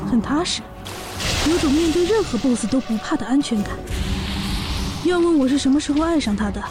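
A woman playing a brash, boastful pregnant orc warrior, a sassy and bossy older sister, in a fan audio drama. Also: high-pitched. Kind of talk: audio drama acting and narration.

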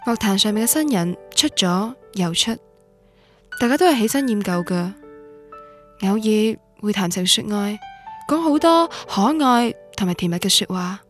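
An actress playing a proud, romantic young student from a radio drama, narrating in Guangdong Cantonese in a wistful, confessional way. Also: female voice, medium pitch, animated, normal speed, lightly acted. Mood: reflective. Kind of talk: scripted radio drama monologue. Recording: music under the voice. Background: music.

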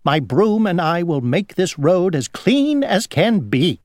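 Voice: mid-range voice, very animated, slow, a little mellow, no echo, studio quality, voice pushed up. A reader voicing an obsessively tidy housefly from a children's storybook, in a busy, insistent manner. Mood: fussy, earnest.

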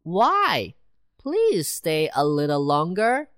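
A woman voicing a small, lively city mouse in a children's storybook, very high and squeaky. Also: slow pace, clear, resonant tone, exaggerated voice. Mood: coaxing. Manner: very high, excited, bossy whisper-to-squeal.

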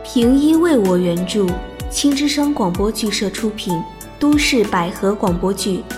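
A woman's voice: mid-range voice, expressive, unhurried, over music. A woman announcer reading a scripted introduction to an audio drama.

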